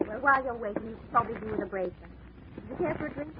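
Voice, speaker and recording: A woman's voice: fairly high pitch, expressive, fast talker, natural voice. Actress as a coy British woman, tinny recording. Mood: coy, flirtatious. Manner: coy, teasing. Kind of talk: old-time radio drama acting. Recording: tinny, noisy vintage radio recording.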